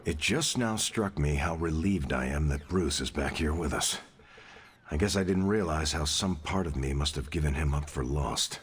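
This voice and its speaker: An actor playing a sincere, steadfast soldier hero in a video game, speaking in an encouraging way. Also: male voice, very deep voice, normal speed. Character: steadfast soldier hero, leader type, warm and proud. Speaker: game character. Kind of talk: video game cutscene acting.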